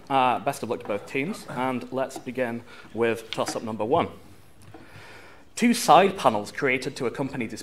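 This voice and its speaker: A man reading quiz questions formally as quizmaster, enunciating carefully in a live room. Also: mid-range voice. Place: university room at a quiz tournament. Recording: live room with background noise.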